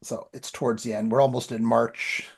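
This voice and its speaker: A man's voice: mid-range voice, level tone, normal speed, vocal fry, breathy edge. A man telling anecdotes in a rambling, informal way as a podcast co-host. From Canada. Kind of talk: casual chat, anecdotes.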